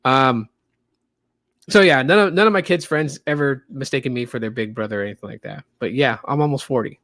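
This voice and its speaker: A man in his late thirties with a light voice of middling pitch hosts a casual podcast stream. He rambles loosely, full of ums and restarts. The recording is close and dry, with no room sound. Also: fairly quick, a bit bright, no echo. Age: 30s. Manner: loose, rambling, frequent fillers. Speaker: podcast host. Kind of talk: casual livestream podcast chat.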